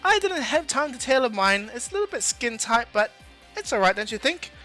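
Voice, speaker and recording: A man's voice: extremely high pitch, sing-song, brisk, bright, forceful tone, faint music behind, voice pushed up, full character voice. A man voicing a friendly, bubbly teenage superhero girl in an anime story, sounding sweet and eager. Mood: shy, admiring.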